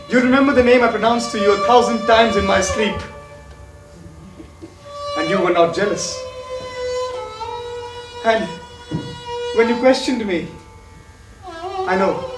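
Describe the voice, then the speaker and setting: A heartsick man musing about the woman he lost, his voice pitched high for a man, as an actor plays him. It is heard from afar in a roomy theatre, with faint music underneath. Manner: reflective, brooding. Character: heartsick man brooding over a lost love. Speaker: stage actor. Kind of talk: live stage acting. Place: theatre stage. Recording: roomy stage from a distance, music.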